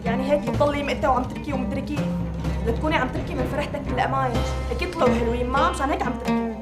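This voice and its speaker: A woman with a Levantine accent playing a sharp-tongued neighbor who teases and scolds in a stream of chatter. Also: high voice, rapid-fire, distant mic, natural voice. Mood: nagging. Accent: Levantine Arabic. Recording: echoey room with loud music.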